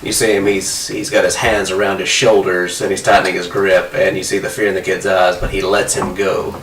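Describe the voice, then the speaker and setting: Distant, deep, even-toned man. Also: brisk. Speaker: podcast panelist. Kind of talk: film analysis, conversational. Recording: distant, echoey, faint background noise.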